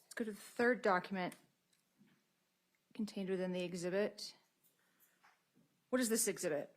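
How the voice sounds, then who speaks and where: An American woman examines a witness in court as a prosecuting attorney. Her voice sits in a middle register. She phrases her questions formally, in a measured and procedural way. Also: medium pitch.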